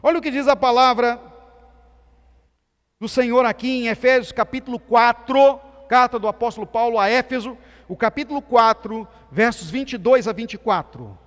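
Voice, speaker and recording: A man from Minas Gerais with a softened Minas Gerais accent, preaching a sermon as pastor with emphatic swings between loud and soft delivery. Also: very high voice, moderate pace. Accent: Brazilian Portuguese, softened Minas Gerais. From Minas Gerais, Brazil. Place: church sanctuary. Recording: church hall reverb.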